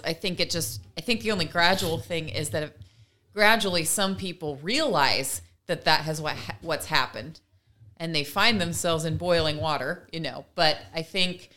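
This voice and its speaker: A woman's voice: on the low side, pushed and bright. A woman co-hosting a casual discussion, talking in an earnest, emphatic way as she explains her points. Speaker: podcast co-host.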